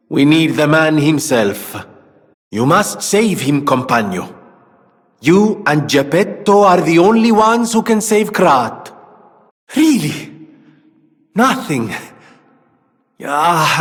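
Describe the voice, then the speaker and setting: An actor playing an eccentric, earnest gentleman inventor in a video game, speaking in a theatrical, wordy way. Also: on the high side, long reverb tail. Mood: urgent, excited. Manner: theatrical, verbose, exclamatory. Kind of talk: video game character dialogue.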